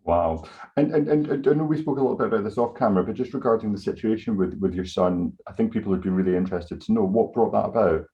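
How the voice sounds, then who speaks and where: Fast gravelly male interviewer. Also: lowish voice, highs cut off. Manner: hesitant, restarts before questions. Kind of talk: interview questions.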